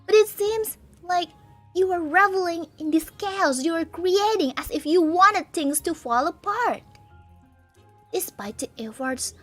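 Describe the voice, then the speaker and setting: A woman voicing a stern, duty-bound older sister who lectures earnestly. The voice sits high and bright, and faint music plays behind it. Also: animated, unhurried, heavily acted. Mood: reproachful. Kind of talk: reading visual novel lines aloud.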